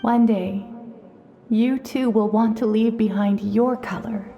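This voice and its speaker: A woman voicing a gentle, wise shaman in a video game, speaking in a calm, measured, explanatory way. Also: a little high, vocal fry, lo-fi sound. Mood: calm, tender. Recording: prominent music behind the voice.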